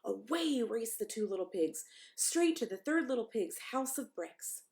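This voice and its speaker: A woman's voice: a little high, animated, moderate pace, gravelly, darkish tone, a little hiss or hum. A woman pastor reading a children's story aloud expressively, with chatty asides to young listeners.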